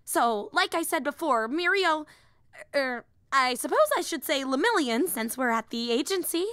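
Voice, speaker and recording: A woman voicing an excitable, nerdy young female superhero in an audio roleplay, earnest and rambling, often apologizing and interrupting herself. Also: high-pitched, moderate pace, dry recording, studio quality. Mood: earnest, enthusiastic. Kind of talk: roleplay audio drama acting.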